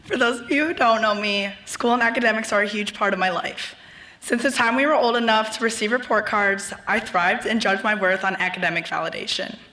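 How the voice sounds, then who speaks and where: A young woman giving a graduation speech as a student speaker at a podium mic, her voice worn and going hoarse. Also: medium pitch, brisk, sharp tone, rough recording. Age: young adult. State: losing her voice. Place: large ceremony venue.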